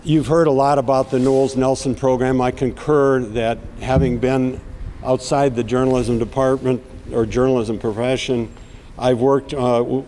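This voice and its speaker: A middle-aged man speaks at a rally in a raspy voice, with an earnest, rhythmic, declamatory delivery. A long reverb trails his words, and a faint background hum sits under them. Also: mid-range voice, mellow. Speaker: outdoor TV host giving a speech. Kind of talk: speech at an outdoor rally.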